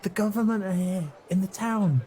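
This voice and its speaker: A man's voice: high voice, normal speed, warm tone, a little hiss or hum. A man improvising in character as a tabletop game player, sounding anxious and repeating himself.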